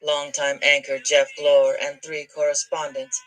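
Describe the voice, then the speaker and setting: A woman calmly reading news headlines in a soft voice. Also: low voice, measured pace, loud music behind the voice, low-quality recording. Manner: calm, soft delivery. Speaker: news reader.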